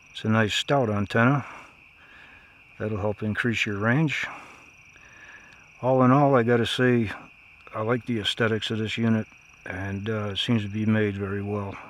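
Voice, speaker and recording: A man's voice: fairly low pitch, fairly even intonation, a little slow, long pauses, a little hiss or hum. A man giving a casual, unscripted product review in a plainspoken, folksy way.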